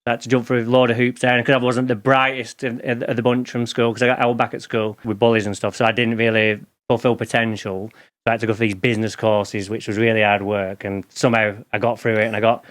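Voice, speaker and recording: Guest spot: Lancashire man in his 40s, flat steady delivery, smooth clear tone, rambling candid anecdotes. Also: medium pitch, fast. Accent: Lancashire English. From England. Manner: rambling, candid storytelling with restarts. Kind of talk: casual interview chat.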